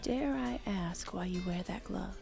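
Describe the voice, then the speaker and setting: A woman playing a flirtatious, forward hotel guest in an audio drama, with a creaky voice and a teasing, intimate manner. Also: mid-range voice, measured pace, mellow, faint music behind. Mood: seductive.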